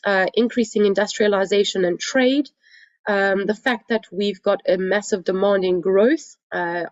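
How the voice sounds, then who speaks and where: Croaky South African woman presenting online. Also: mid-range voice, a little mellow, dry recording. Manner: earnest, polite, frequent hesitations. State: croaky from a cold. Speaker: guest speaker. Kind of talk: webinar presentation. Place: home. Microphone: computer mic on a video call. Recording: dull, dry lo-fi webinar audio.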